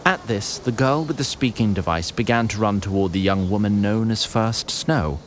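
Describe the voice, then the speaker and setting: A man's voice: fairly low pitch, moderate pace. A man reading scripted fantasy narration in a literary storytelling manner. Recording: noisy background ambience.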